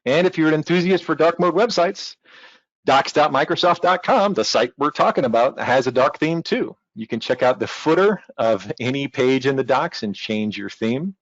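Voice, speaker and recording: A man presents a technical walkthrough in an informal, conversational way, with a reassuring manner. His voice sits at a medium pitch. The recording is dry and somewhat dull, with little room sound. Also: lively intonation, moderate pace, no echo. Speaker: technical documentation team member, presenter.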